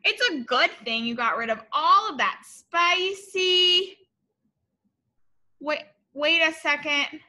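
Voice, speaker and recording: A young woman reads a children's story in a high, bright voice. She takes her time with the phrases, exaggerating them expressively and speaking directly to young listeners like a teacher. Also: takes it slowly. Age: young adult. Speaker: teacher reading a picture book to children. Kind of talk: animated children's story read-aloud. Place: classroom, indoors.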